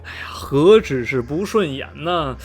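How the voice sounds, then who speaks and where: Animated, gossipy middle-aged townsman played by a man. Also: fairly high pitch, a little hiss or hum. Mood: uneasy, disgusted. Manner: gossipy, sighing exclamations, drawn-out dramatic phrasing. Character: gossipy middle-aged small-town Vermont man, superstitiously repelled yet skeptical. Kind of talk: radio drama acting, animated storytelling.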